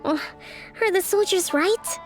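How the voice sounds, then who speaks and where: Anime game role: young female military strategist, gentle, thoughtful, very high girlish voice, measured and polite, over background music. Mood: pondering. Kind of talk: anime video game voice acting.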